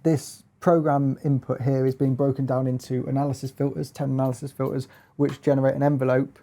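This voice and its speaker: A man giving a casual hands-on demonstration as a gear reviewer, explaining in a halting, hesitant way. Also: mid-range voice, level tone, vocal fry, warm tone.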